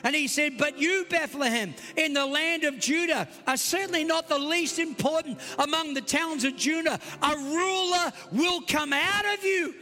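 A man with an Australian accent preaching a Christmas Eve sermon, emphatic and swinging between loud and soft. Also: extremely high pitch. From United States. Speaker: pastor. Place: large church hall. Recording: long hall reverb.

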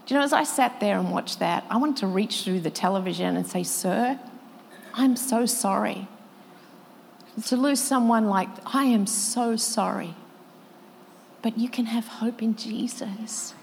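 A woman's voice: on the high side, a little slow, creaky, slight background noise. Woman preaching in a reverberant hall. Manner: emotional, quoting others with rising intensity. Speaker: pastor. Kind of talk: sermon storytelling.

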